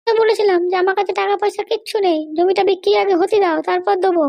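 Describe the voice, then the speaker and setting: Woman voicing a squeaky, haughty cartoon lady boss. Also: steady tone, rapid-fire, gravelly, a little mellow, lo-fi sound. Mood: bargaining, complaining. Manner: bossy, indignant, scolding. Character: haughty, threatening female political boss held in jail. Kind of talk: Bengali comedy cartoon dialogue.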